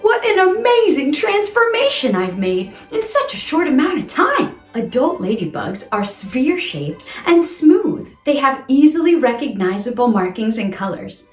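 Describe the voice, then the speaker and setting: A woman presents to young children with a very animated voice that swoops up and down. She sits fairly high in pitch and speaks in a playful, exaggerated read-aloud style. The room rings noticeably around her. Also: a little slow, hardly any pauses. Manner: exaggerated, exclamatory, asks questions of young listeners. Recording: roomy echo with music underneath. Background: music.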